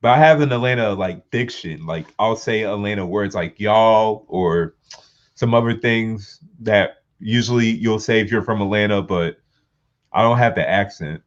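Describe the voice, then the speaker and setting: A man's voice: lowish voice, normal speed, highs cut off. A man co-hosting a podcast, giving plain, matter-of-fact remarks in casual chat. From United States. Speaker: podcast co-host.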